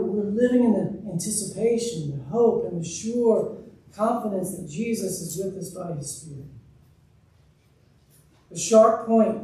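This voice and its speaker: A man's voice: high voice, mellow. Man preaching in an echoey church. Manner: earnest, emphatic preaching with open gestures. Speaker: pastor. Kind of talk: sermon. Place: church sanctuary, speaking from a pulpit. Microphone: room mic, far from speaker. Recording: echoey distant church recording.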